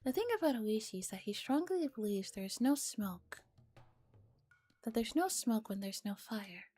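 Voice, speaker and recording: A woman streamer softly reading a visual novel aloud in a relaxed ASMR style and chatting casually with her viewers. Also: on the high side, a little slow, slightly rough. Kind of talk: soft ASMR reading with casual chat and commentary. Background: music.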